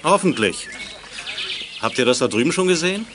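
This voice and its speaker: A man's voice: on the high side, very animated, moderate pace, noisy background. A male actor playing a stern but kindly youth village leader in a children's radio play, with a fatherly, authoritative manner. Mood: kindly, firm. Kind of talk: 1980s German children's radio play acting.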